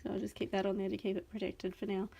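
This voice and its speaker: A woman's voice: mid-range voice, little pitch movement, fast, gravelly, dark tone. A young adult Australian woman hosting a chatty live craft unboxing, gushing and enthusiastic, a little sniffly from a cold. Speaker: craft video host.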